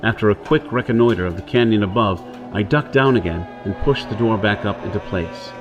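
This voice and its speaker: A man's voice: lowish voice, a little fast, highs cut off, over music, natural voice. An actor playing a rugged, wary Earth-born outlaw on Mars in an audio drama, intense and theatrical as he talks himself through things. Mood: tense narrating. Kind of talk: audio drama acting with first-person narration.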